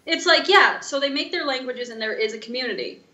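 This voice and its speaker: A woman's voice: high voice, fairly quick, sharp tone. A woman co-hosting a podcast in casual chat, with slightly muffled, echoey sound. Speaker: podcast co-host.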